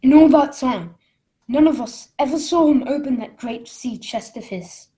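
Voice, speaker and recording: An earnest narrator played by a woman looks back on a boyhood adventure for a radio play. The voice sits fairly high, and the telling is measured and dramatic. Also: expressive, warm tone. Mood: foreboding. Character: Jim, grown man recalling his boyhood adventure, earnest storyteller.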